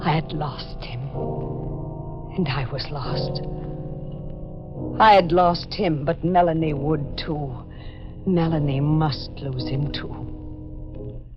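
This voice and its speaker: A brooding jealous woman in old radio horror, low voice, muffled vintage recording. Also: takes it slowly, pauses often. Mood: brooding, haunted. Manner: brooding, melodramatic first-person storytelling. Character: bitter, haunted, jealous young woman with scarred face. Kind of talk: 1940s radio horror acting. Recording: dull vintage radio recording with faint music.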